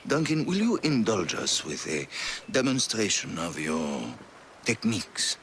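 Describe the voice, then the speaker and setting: A man's voice: on the low side, sing-song, measured pace, fairly bright tone, slight background noise. An actor playing a suave, amused French arms smuggler in a video game, with a French accent and a theatrical way of savoring his words. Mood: smug, probing. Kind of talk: video game cutscene acting.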